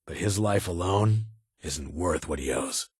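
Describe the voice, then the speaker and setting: An actor playing a stern, guarded army lieutenant colonel in a video game, with formal, clipped, duty-bound delivery and a gravelly edge. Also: male voice, low voice, a little slow, darkish tone, dry recording, clean studio sound, full character voice. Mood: cold resolve. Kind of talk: video game dialogue acting.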